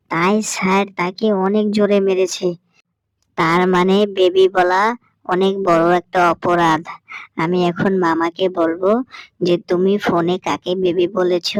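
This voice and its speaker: A woman's voice: fairly low pitch, no echo, lightly acted, low-quality recording. A woman voicing a little boy in a cartoon who whines and begs for ice cream. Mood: scheming. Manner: whiny, wheedling child voice. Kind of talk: cartoon voice acting.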